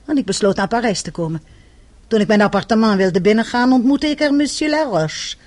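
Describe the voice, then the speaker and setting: An actress playing a prim, dignified older spinster with a formal, precise and haughty manner in a radio drama. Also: female voice, fairly high pitch, expressive, a little fast, darkish tone. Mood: formal, explaining.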